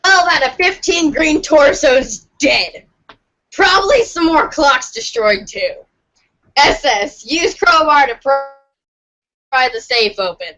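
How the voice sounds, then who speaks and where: A woman with a high voice reads a comic aloud in a dramatic, playful way. Her pitch moves around a lot and she takes her time. The sound is slightly dull. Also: lively intonation, slow pace, sharp tone. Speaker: podcast reader of a webcomic. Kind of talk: theatrical comic read-aloud.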